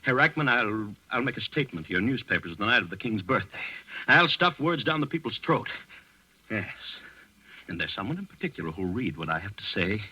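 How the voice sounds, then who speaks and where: Husky, rattled, blustering count. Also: male voice, on the low side, sing-song, brisk, bright, forceful tone, no room sound, heavily acted. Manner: agitated, repeats himself, swinging from bluster to pleading. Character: rattled, vain, paranoid Nazi-backed count, blustering and fragile. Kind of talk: 1940s radio drama acting. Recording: dull vintage radio recording.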